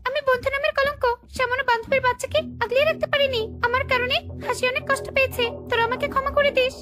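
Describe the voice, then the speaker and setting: A woman voicing an earnest, guilt-ridden mother hen in a cartoon, talking in a hurried way. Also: very high-pitched, steady tone, sharp tone, soft background music, pitched above the performer's own voice. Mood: remorseful.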